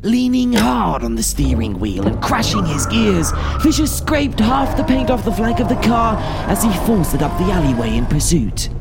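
Male audiobook reader, expressive and theatrical, very animated delivery, scored with music. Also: sits high. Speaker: audiobook narrator. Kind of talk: dramatic scripted story narration.

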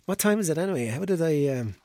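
A man's voice: fairly high pitch, lively intonation, fast, warm tone, dry recording. A hungover Irish man in an audio drama, a flippant charmer out of his depth. He speaks colloquially and awkwardly, with a bewildered air. The recording is dry and free of room sound. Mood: uneasy, flustered. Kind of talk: radio drama acting.

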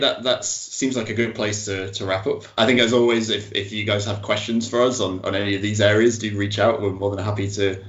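An easygoing man leading a casual panel and tossing questions to his guests. His voice sits fairly low. The room is echoey and the recording sounds dull. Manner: easygoing, steers the talk with questions. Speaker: discussion host.

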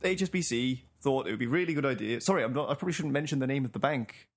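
A man's voice: medium pitch, animated, very fast. A man co-hosting a casual podcast chat, wry and laughing through his words. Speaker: podcast co-host.

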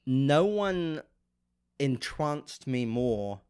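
A man co-hosting a podcast in casual, enthusiastic chat, his voice nasal and rough from a flu. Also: medium pitch, drawn-out words, dry recording, studio quality. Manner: enthusiastic, animated fan talk. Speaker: podcast co-host.

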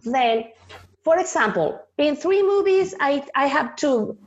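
A woman's voice: high voice, animated, unhurried, lo-fi sound, slight background noise. A woman with a Mexican Spanish accent and Spanish as her first language. She is an actress in a podcast interview, searching for English words and slipping into Spanish.

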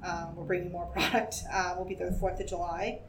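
A woman's voice: flat delivery, brisk. A woman from New Hampshire chatting in an interview as a business owner, a chatty, giggly storyteller. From New Hampshire, USA. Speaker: taproom co-owner. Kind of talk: casual interview chat. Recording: echoey, distant mic with rumble noise.

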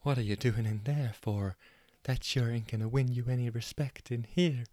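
Man doing a quiet know-it-all lady detective, soft and hushed, dry close mic. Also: mid-range voice, moderate pace, dry recording, lightly acted. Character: hushed, self-assured female amateur detective.

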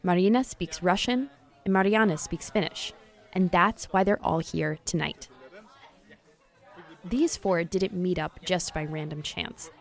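A woman narrating a news feature in a measured, scripted delivery. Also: on the low side, gravelly, darkish tone.

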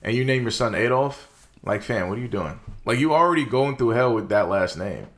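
Slangy, animated young man. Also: medium pitch, fairly quick. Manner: loose, slangy, animated, lots of fillers. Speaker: podcast co-host. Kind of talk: casual chat about movies.

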